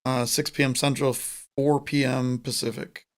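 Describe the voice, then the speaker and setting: A man from the United States co-hosting a casual sports podcast over a remote call, chatty and joking, with quick asides. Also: mid-range voice, level tone. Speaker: podcast co-host.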